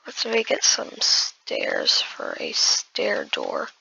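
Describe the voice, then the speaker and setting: A hoarse woman who has just woken up, giving casual gameplay commentary while building and often muttering in half-whispers to herself. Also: a little high, huge pitch swings, very slow, pushed and bright, a little hiss or hum, poor audio. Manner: muttering half-whispered asides to self, addressing viewers as guys. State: hoarse, losing voice after just waking up. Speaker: gaming video creator.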